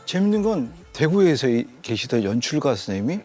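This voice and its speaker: A middle-aged man from North Gyeongsang speaks with a broad Gyeongsang dialect. He is an animated storyteller who slips into the voices of people from his past, and his pitch sits in an ordinary middle range. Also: a little fast, faint music behind. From North Gyeongsang, South Korea. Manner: animated storyteller who acts out remembered lines. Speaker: actor guest. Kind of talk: casual chat.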